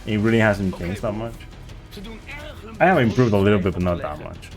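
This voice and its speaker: Male speedrunner, lower voice, rambling casual chatter over quiet background music. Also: a little fast. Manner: rambling, searching for words. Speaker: speedrunner streaming a game. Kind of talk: casual chat while playing.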